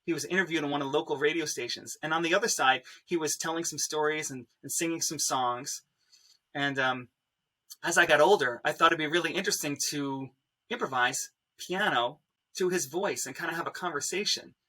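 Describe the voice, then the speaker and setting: A man speaking as a panelist, explaining his work and background in a measured, personal way. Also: on the high side, bright, forceful tone, rough recording. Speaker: panelist, composer and pianist.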